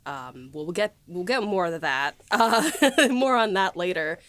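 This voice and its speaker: A woman's voice: on the low side, lively intonation, unhurried, a bit bright. A woman hosting a podcast in casual, unscripted chat with an informal manner. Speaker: podcast host.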